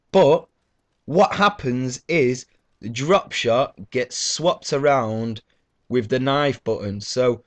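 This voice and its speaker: Stuffy-nosed gamer rambling. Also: male voice, medium pitch. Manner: rambling, loose and sweary, with many fillers. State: stuffy, blocked nose. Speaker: gaming YouTuber. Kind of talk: casual gameplay commentary.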